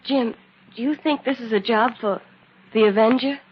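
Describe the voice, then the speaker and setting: An actress playing an eager, devoted young assistant in an old-time radio crime drama. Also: female voice, fairly high pitch, normal speed, breathy edge. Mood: eager, attentive. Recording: dull, noisy old radio recording.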